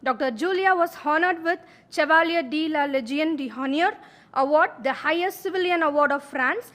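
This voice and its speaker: A woman's voice: high voice, moderate pace, a bit bright. A woman hosting the event, formally and respectfully introducing the guest speaker to a lecture hall.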